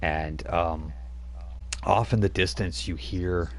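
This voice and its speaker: A man's voice: low-pitched, fairly even intonation, slow pace, a little hiss or hum. A man narrating a tabletop game as game master, rambling with many fillers and restarts.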